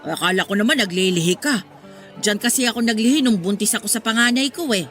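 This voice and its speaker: Actress as nosy gossiping neighborhood auntie, Filipino accent. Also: female voice, mid-range voice, fast, a bit bright, faint music behind, lightly acted. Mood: nosy, curious. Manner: prying, chatty, conspiratorial. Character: gossipy, meddlesome older neighborhood mother, kindly but nosy. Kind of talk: Tagalog radio drama acting.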